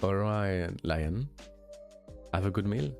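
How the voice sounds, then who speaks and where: A young man with a light French accent, a streamer chatting casually with viewers, relaxed and hesitant with many fillers and restarts. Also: low-pitched, slow pace, gravelly, soft background music. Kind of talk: casual chat answering viewers.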